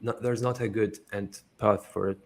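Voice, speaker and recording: A young man from Iraq speaks in a lowish voice, telling his story in a loose, rambling way. He fills his talk with fillers and curses casually. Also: fairly even intonation, moderate pace. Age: young adult. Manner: rambling, lots of "like" fillers, swears freely. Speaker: interview guest. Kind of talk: casual conversational interview.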